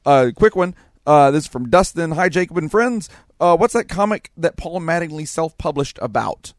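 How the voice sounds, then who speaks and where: A man with a slightly high voice co-hosts a casual podcast. He moves between reading mail aloud and loose banter, in a close, dry recording with little room sound. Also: expressive, moderate pace, no room sound.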